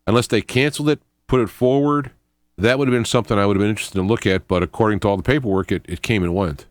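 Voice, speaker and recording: A man giving informal, rambling video commentary, often halting and correcting himself as an independent show host. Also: lowish voice, no room sound, studio quality. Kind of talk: informal video commentary on his own investigation.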